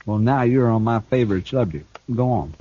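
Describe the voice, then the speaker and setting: A cool, sardonic escaped killer. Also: male voice, mid-range voice, expressive, normal speed, dark tone, husky. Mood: smug, taunting. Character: cool, smart escaped killer and thief, grown man. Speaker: outlaw prisoner. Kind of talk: 1950s radio drama acting. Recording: dull old radio broadcast recording.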